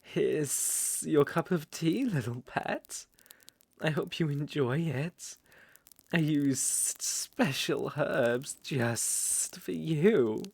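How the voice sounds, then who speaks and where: A man voicing a coaxing, possessive naga monster in a roleplay ASMR audio drama, with a creaky, teasing delivery. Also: a little high, no room sound, full character voice. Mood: smug, coaxing. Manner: slow, coaxing, teasing with soft chuckles. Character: smooth-talking male naga monster, coaxing, possessive predator.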